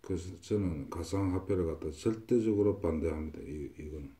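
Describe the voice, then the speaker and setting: A man's voice: low voice, brisk, warm tone. A man giving a fortune-telling consultation, stating predictions confidently and repeating himself. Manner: confident, repetitive pronouncements. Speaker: fortune teller.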